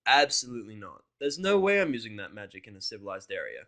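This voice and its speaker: A man's voice: on the low side, very animated, quick pace, vocal fry, pushed and bright, full character voice. A man voicing a surly, cynical human character with a blunt, sarcastic, grumbling manner in a story. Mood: irritated, defiant. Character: surly, foul-mouthed, cynical human in pony world.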